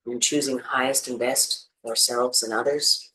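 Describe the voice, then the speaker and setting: A meditation teacher guides listeners through a practice in a medium-pitched voice. The delivery is gentle and soothing, with space left between instructions. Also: fairly even intonation, moderate pace, rough recording.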